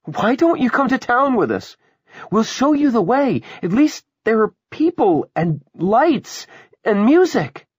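An impassioned young woman's ghost played by a male narrator, pitched high and swinging widely. The delivery is emphatic and urgent, pressing stubborn listeners in a storybook reading, with a dry, close sound. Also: big pitch swings, unhurried, slightly rough, no room sound, heavily acted. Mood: angry, urgent. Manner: emphatic, pleading and taunting. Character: fierce, impassioned young dead woman urging others to leave.

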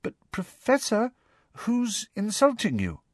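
Man doing a hushed, high, timid schoolgirl. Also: wildly swooping pitch, takes it slowly, no echo, clean studio sound, heavily acted. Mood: timid, probing. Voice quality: hushed, soft. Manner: timid, tentative, concerned. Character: timid, admiring teenage schoolgirl. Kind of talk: audiobook character voice.

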